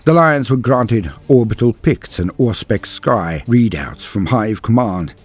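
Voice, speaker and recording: A man with a fairly low, husky voice delivers scripted, dramatic lore narration. His delivery is grave and measured, in the formal wording of a storyteller, with faint music playing behind him. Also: expressive. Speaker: narrator of a science fiction lore channel. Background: background music.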